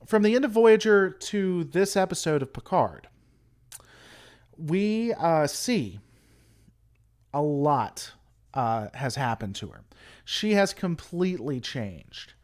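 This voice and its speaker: A man's voice: a little high, animated, slow, long pauses, darkish tone. A man hosting a casual livestream, rambling through an opinionated critique and answering chat. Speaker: livestream host.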